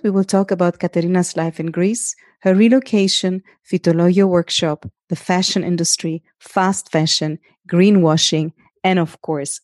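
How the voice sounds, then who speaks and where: A woman hosting a podcast, reading a measured introduction and asking her guest questions. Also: lowish voice, a little mellow, no room sound, clean studio sound. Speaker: podcast host. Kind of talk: scripted introduction and interview questions.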